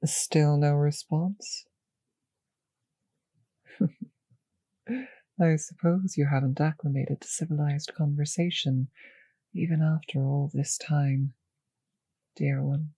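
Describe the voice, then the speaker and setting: A woman acts out a refined female vampire for an intimate audio drama, possessive and predatory. Her voice is husky and close, recorded very dry, with an unhurried pace. She teases with condescending endearments and slips into whispers. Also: low-pitched, fairly even intonation, dark tone, no echo, heavily acted. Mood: languid, teasing. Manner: slow, condescending, teasing endearments, drifting into whispers.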